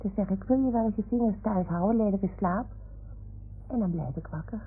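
Radio play role: plucky little toy dog, husky and naive, on a tinny vintage recording. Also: female voice, medium pitch, brisk. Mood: boastful. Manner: childlike, naive. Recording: muffled old radio drama, narrow band.

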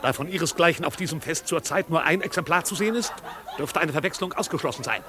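An actor playing an officious, absurdly formal servant with pedantic, roundabout phrasing in a 1950s radio drama. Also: male voice, a little high, quick pace, close to an everyday voice. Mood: stern, commanding. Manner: pedantic, circumlocutory, deferential to his master. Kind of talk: 1950s German radio play acting. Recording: 1950s radio recording, faint crowd behind.

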